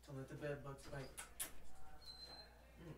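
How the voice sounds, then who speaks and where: A man's voice: mid-range voice, level tone, measured pace, slight background noise. A young man rambling casually to himself while doing live commentary on an old movie, full of fillers and restarts. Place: at home.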